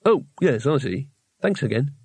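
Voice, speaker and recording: An anxious, cynical wizard voiced by a male storybook reader talks in a medium-pitched voice. He is hesitant and overly polite, with a sardonic undertone and a dry, close sound. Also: big pitch swings, normal speed, a little mellow, breathy edge, dry recording. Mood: careful, nervous.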